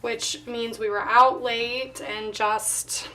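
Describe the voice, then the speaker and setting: A woman with a slightly hoarse voice, casually narrating her craft work and thinking aloud as she goes. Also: fairly high pitch, level tone, bright, forceful tone, far from the mic, a little hiss or hum, rough recording. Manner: unhurried thinking aloud while working. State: slightly hoarse, voice not recovered. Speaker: planner vlogger.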